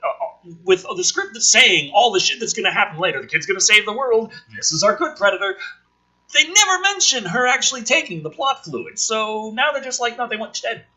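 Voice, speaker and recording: Harsh, bright-voiced man ranting, swinging wildly in pitch. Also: big pitch swings, a little fast, low-quality recording. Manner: exasperated, sweary, incredulous. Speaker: reader and critic of a film script draft. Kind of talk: script reading with ranting commentary.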